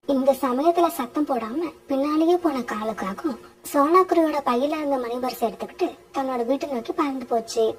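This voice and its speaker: A woman narrating a scripted children's fairytale. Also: high voice.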